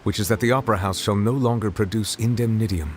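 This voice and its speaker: A man voicing a solemn, dignified dragon who serves as chief judge in a video game, speaking in a formal, measured, grave manner. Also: on the low side, a little hiss or hum, natural voice. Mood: resolute, formal. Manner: formal, measured, eloquent, reserved. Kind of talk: video game character dialogue. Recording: game dialogue over background music.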